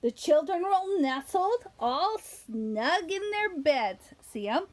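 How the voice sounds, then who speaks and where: A woman with a high voice that swings widely up and down as she reads to little children. She takes her time, stretching words and stopping to put simple questions to her young listeners. Her delivery is animated storytelling, with small explanations along the way. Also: slow pace. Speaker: children's story reader and host.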